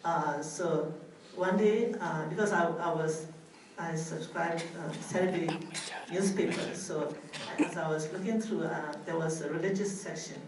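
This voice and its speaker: A middle-aged woman from Myanmar, a Buddhist nun, telling her story hesitantly with many pauses and restarts. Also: low voice. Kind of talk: personal testimony talk. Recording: echoey room, far from mic, faint noise.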